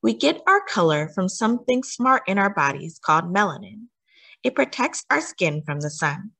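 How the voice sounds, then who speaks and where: Woman, children's read-aloud, expressive varied intonation, teacherly emphasis, mid-range pitch, questioning asides. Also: creaky. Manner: deliberate, teacherly emphasis with questions to young listeners. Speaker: children's story time host reading a picture book aloud.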